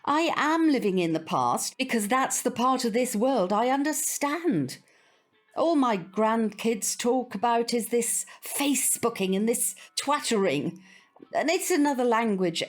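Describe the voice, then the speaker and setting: A woman playing a prim, old-fashioned older British grandmother, opinionated and wistful, in an audio drama. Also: a little high. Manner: prim, proper, hesitant around awkward subjects.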